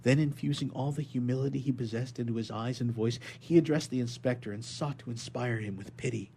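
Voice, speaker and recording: A man reading a classic novel aloud as dramatic, expressive narration. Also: medium pitch, fairly quick, gravelly, slight background noise. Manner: expressive, theatrical. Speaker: reader of a novel chapter.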